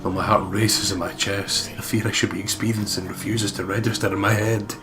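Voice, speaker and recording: A deep-voiced man narrates a horror story in the first person, reading dramatically as a let's play host. Loud game music plays behind his voice. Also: flat delivery, a little fast. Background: prominent game music.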